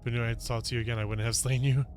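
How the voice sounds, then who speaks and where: Male let's player: amused, reactive casual commentary, rapid delivery, light game music underneath. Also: mid-range voice, flat delivery. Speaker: game streamer.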